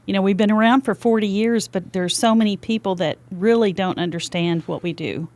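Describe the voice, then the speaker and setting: A woman's voice: mid-range voice, fairly quick, darkish tone. An older woman with a Texas accent, chatting freely as a podcast guest in a studio. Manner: chatty and talkative. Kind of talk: casual interview chat.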